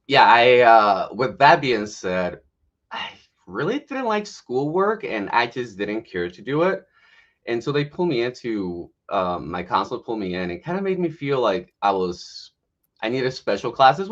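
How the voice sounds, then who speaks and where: A man's voice: lowish voice, a bit bright, dull audio. A man in his thirties from Mexico and the US, telling his story casually and candidly as a guest. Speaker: podcast guest, health coach. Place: remote online livestream call.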